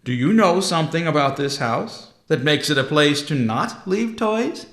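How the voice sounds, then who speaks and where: A man voicing Santa Claus, a jolly old man who talks in a hearty, drawn-out way and chuckles. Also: on the high side, expressive, a bit bright, roomy sound, voice pushed up. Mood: jovial.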